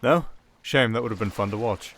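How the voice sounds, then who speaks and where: A man with a British accent playing a sardonic, softly dangerous male siren in an ASMR roleplay, with dry, theatrical sarcasm. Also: lowish voice, brisk. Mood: teasing, sardonic.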